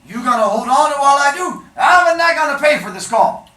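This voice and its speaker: A reader voicing a gruff older male bookshop owner with a thick accent, shouting and haggling over the phone. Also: sits high, lively intonation, rapid-fire, roomy sound, pitched above the performer's own voice.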